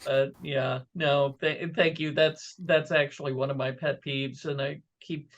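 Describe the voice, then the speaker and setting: A woman's voice: low-pitched, fairly even intonation, measured pace, muffled recording. A woman chatting casually as an expert fan, telling anecdotes in a wry, emphatic manner. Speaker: expert fan on interview panel.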